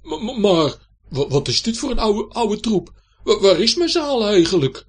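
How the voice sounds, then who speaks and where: A man's voice: medium pitch, moderate pace, darkish tone, husky, lightly acted. A man voicing a short, blustering old knight in rusty armour for a children's story, stuttering and repeating himself in a muffled grumble. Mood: indignant, bewildered. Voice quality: dull, muffled.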